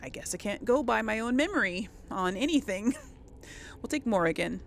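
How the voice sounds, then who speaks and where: A woman's voice: fairly high pitch, lively intonation, normal speed, vocal fry, a little hiss or hum. A woman hosting a let's play, commenting casually on a game and hesitantly thinking aloud.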